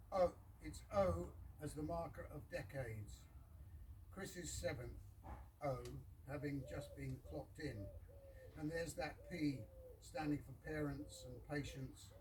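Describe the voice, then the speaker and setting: Man with a lightish, higher voice, informal poetry recital in short measured phrases, picked up from a distance. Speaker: reader of a poem at a birthday gathering. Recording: distant mic with clear background noise.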